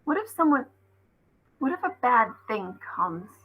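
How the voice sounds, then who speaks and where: A woman's voice: a little high, sing-song, unhurried, slightly rough, narrow, tinny audio, full character voice. A woman voicing a small, anxious but stubborn young girl in a children's storybook.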